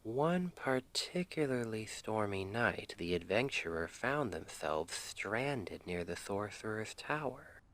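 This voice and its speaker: A man playing a tender, playful boyfriend in an ASMR roleplay, speaking in a gentle, intimate half-whisper to soothe his partner to sleep. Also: fairly low pitch, big pitch swings, slow pace, few pauses, heavily acted. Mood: soft, playful storytelling. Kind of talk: ASMR roleplay, soft bedtime storytelling.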